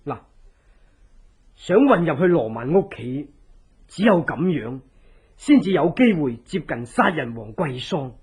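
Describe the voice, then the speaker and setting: A loyal, scheming conspirator with a very raspy, fairly high voice that swings widely in pitch. His Hong Kong Cantonese delivery is deliberate, persuasive and theatrical, in radio drama style. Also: big pitch swings, darkish tone, exaggerated voice. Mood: calculating, instructive. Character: loyal scheming male resistance ally, earnest, deliberate advisor.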